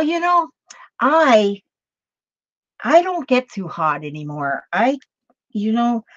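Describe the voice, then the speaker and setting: A woman's voice: a little high, no echo. A woman in her late sixties, from Minnesota, chatting casually with viewers while she crafts, rambling along with fillers and restarts. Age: 60s. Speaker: crafting video host. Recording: dull, slightly lo-fi sound.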